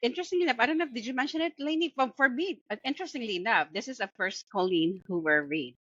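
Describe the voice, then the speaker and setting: A woman's voice: fairly high pitch, lively intonation, racing, a bit bright, breathy edge, dry recording. A woman with a Filipino accent chatting casually as a co-host of a book review show. She talks with many fillers and restarts and laughs often. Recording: dull lo-fi dry sound.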